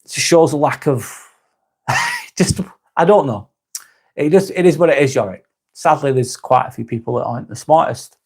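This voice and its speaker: A man hosting a live stream, reading out viewer comments and chatting in a relaxed, rambling way with plenty of restarts and the odd swear word. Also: on the high side, moderate pace, pauses often, husky.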